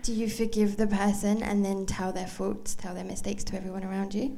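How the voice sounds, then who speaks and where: A woman interpreting a sermon phrase by phrase, with fillers and quick self-corrections. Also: medium pitch, little pitch movement, creaky, mellow. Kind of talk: live consecutive interpreting of a sermon.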